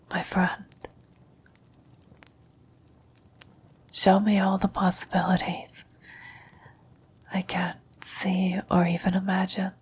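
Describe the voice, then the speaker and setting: A woman playing a gentle, wise, dying old woman in a whispered, breathy, solemn ASMR roleplay. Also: fairly low pitch, slight background noise, close to an everyday voice. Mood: tender, grateful. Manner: slow, solemn, measured delivery.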